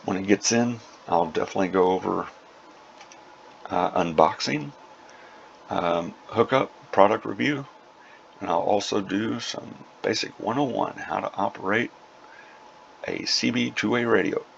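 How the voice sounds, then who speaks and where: Deep gravelly-voiced man, dull lo-fi recording. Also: unhurried, long pauses. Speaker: prepper video host. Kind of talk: casual informal product update. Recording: dull lo-fi sound with faint noise.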